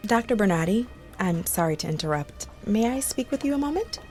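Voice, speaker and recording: A woman acting a brisk, polite nurse with a disdainful streak in a radio drama. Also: medium pitch, animated, darkish tone, soft background music, close to an everyday voice. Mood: polite, professional.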